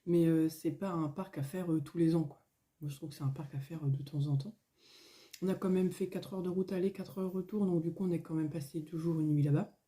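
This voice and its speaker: A middle-aged woman, a little hoarse, chatting casually at home in a vlog, rambling with many fillers and restarts. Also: sits low, fairly even intonation, brisk, mellow. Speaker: coloring vlogger. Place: at home, indoors.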